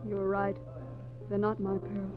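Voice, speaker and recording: An actress playing a sad, dignified married woman in a 1940s radio drama, soft and hesitant in her restraint. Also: medium pitch, monotone, moderate pace, natural voice. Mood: wistful, sorrowful. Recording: tinny vintage 1940s radio recording, music behind.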